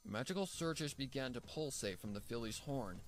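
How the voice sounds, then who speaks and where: A man narrating a dramatic scripted fan fiction story in a measured, theatrical way. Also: mid-range voice, expressive. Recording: music bed behind the voice. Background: background music.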